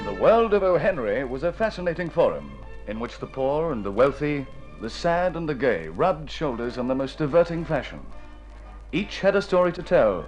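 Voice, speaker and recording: A man's voice: on the high side, sing-song, normal speed, muffled recording, soft background music. A man announcing a radio drama in scripted narration, with a formal, polished delivery.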